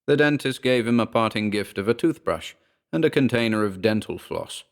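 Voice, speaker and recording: A man with a fairly low voice reads a horror story as its narrator. His delivery is measured and dramatic, shaped as scripted storytelling. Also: animated.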